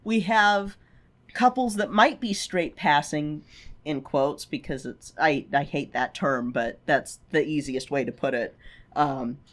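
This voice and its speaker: Podcast co-host, androgynous voice, casual chat, halting delivery, circles back and rephrases. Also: medium pitch. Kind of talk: casual chat, film discussion.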